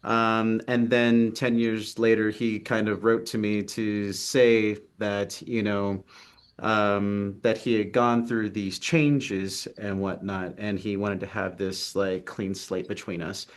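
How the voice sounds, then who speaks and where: A man's voice: fairly low pitch, level tone, takes it slowly, clear, resonant tone. A young man from Texas, a film writer and actor, talking earnestly over a video call in a casual interview, with lots of ums and restarts. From Texas, United States. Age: young adult. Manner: earnest, hesitant, many fillers. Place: city apartment with street traffic outside.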